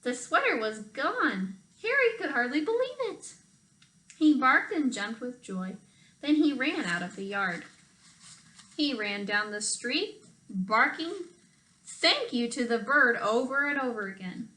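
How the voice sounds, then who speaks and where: A woman reading a picture book aloud to children in an animated, expressive storytime style. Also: high voice, unhurried, poor audio. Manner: expressive, emphasizes phrases for children. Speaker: storytime reader for children, teacher.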